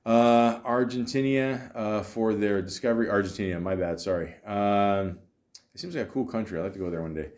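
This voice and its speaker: Casting: male podcast host, lowish voice, loose rambling delivery with dry, profane asides. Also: darkish tone. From Canada. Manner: rambling, halting, profane, sardonic. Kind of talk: casual comedic podcast monologue, reading aloud with asides.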